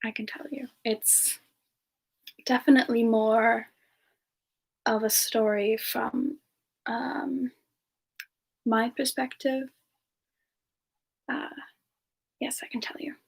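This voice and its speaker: A woman playing a gentle, polite frost demon with no memory, speaking softly and hesitantly in an in-character ASMR-style Q&A. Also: on the high side, fairly even intonation, slow pace, gravelly, long pauses, breathy edge, full character voice. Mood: hesitant, wistful. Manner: hesitant, soft-spoken, slips into whispers. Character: gentle, polite amnesiac frost-powered demon woman, reborn from long ago. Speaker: streamer answering viewer questions in character. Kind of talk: semi-ASMR in-character Q&A, soft improvised chat.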